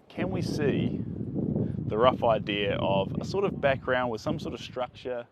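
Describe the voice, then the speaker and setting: A young man teaching painting on a live stream, enthusiastic and chatty, his voice a bit strained by the wind, recorded on a phone outdoors on a beach. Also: mid-range voice. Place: sandy beach outdoors on a sunny day. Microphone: phone held at arm's length. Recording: phone audio with clear wind and outdoor noise.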